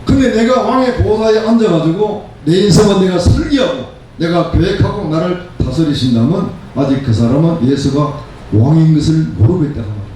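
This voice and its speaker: Man in his seventies, pastor, emphatic sermon delivery, repeated phrases, mellow tone, heavily reverberant room. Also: a little high, expressive, brisk. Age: 70s. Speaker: pastor preaching. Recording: very echoey room, distant mic, faint noise.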